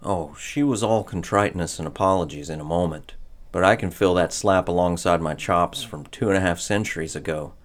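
A man's voice: low voice, brisk, lightly acted. An actor playing an ageless, henpecked husband of a witch in a radio drama, a wry storyteller who gets flustered around his wife. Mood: wry, reminiscing. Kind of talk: old-time radio drama narration and acting.

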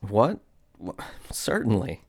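A deep-voiced, henpecked witch's husband. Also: very animated, slow pace, a little mellow, exaggerated voice. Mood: flustered, evasive. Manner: wry, ruminative storyteller, flustered before his wife. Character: ageless, henpecked husband of a witch, wryly reminiscing. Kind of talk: old-time radio drama narration and acting.

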